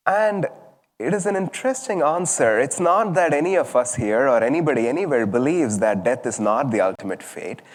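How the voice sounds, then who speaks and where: Man giving a reflective talk in a reverberant hall. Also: mid-range voice. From southern India. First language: Tamil. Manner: thoughtful, storytelling, many fillers. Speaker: speaker at a spiritual service.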